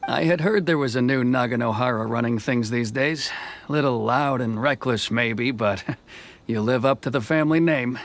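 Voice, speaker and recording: An actor playing a dutiful, conflicted officer in a video game, speaking in a measured, formal way. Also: male voice, mid-range voice, close to an everyday voice. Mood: reflective, restrained. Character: stern, dutiful officer man, conflicted but soft-hearted. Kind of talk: dramatic game dialogue acting. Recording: music behind the voice.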